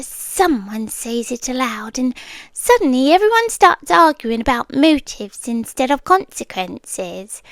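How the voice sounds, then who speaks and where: A British woman in an ASMR roleplay, voicing an obsessive young woman who has kidnapped the man she loves. She speaks in soft whispers and muses slowly and calmly. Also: sits high, dry recording, heavily acted. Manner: soft whispering, slow musing monologue.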